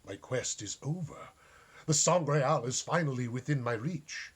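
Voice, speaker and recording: A man's voice: medium pitch, expressive, a little slow, slightly rough. A man voicing an earnest, noble young knight in a storybook reading, speaking in a formal, grandiose and solemn way. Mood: solemn, reverent. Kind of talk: storybook character dialogue.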